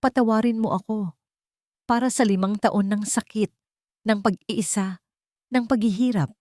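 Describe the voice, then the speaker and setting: A woman's voice: medium pitch, moderate pace, dark tone, dry recording, studio quality, voice pushed up, close to an everyday voice. A woman voicing a remorseful billionaire man who renounces his wealth, speaking in an earnest, pleading way. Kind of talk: storybook dialogue read by narrator.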